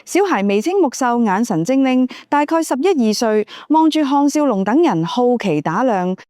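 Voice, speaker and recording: Lively, high-pitched woman narrator. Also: normal speed, no echo, studio quality. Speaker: audiobook narrator. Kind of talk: scripted novel narration.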